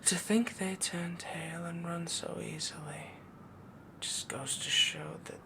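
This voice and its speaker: Man playing a whispery, gravelly possessive werewolf boyfriend. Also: on the high side, fairly even intonation, slow, background noise. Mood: smug, possessive. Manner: soft-spoken, whispery, adoring rambling. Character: possessive, doting yandere werewolf boyfriend, dominant yet sweet. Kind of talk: intimate roleplay audio, ASMR.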